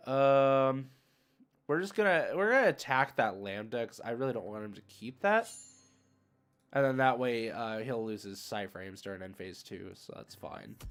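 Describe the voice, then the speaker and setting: A man giving casual, chatty gameplay commentary, thinking aloud as he narrates each move. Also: medium pitch. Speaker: video game streamer.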